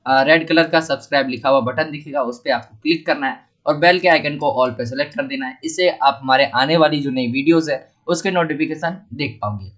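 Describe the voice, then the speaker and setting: Hindi-speaking male teacher with a sore throat. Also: fairly high pitch. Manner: steady explaining with frequent fillers. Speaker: teacher explaining exam paper. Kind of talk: exam paper walkthrough lesson.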